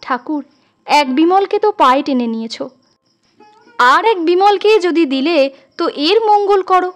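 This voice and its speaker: A woman's voice: very high voice, expressive, a little slow, soft background music, voice pushed up. A woman voicing a doting, sentimental village sister-in-law in a story reading, affectionate and emotional. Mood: tearful, tender.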